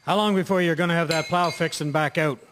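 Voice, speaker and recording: A no-nonsense municipal garage foreman, played by a man in a radio drama. His voice sits a little high, practical and matter-of-fact. Faint clinks of dishes sound behind. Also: steady tone, fast talker, noisy background, natural voice. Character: male municipal garage foreman managing plows.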